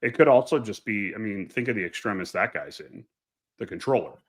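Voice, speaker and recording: A man's voice: lowish voice, expressive, a little fast. A man, an airline pilot on a casual panel, talking informally and often breaking off mid-thought to hedge.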